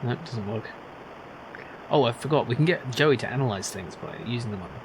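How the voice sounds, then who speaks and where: A man's voice: mid-range voice, lively intonation, brisk, background noise. A man giving casual let's play commentary, thinking aloud in a wry, musing way. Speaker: video game streamer.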